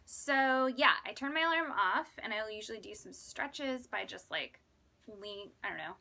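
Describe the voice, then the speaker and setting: A woman in her thirties chatting casually to viewers in an animated, self-deprecating, exclamatory way, like a vlogger. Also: medium pitch, moderate pace, gravelly. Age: 30s. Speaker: vlogger and fifth grade teacher. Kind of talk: casual chatty vlog to camera.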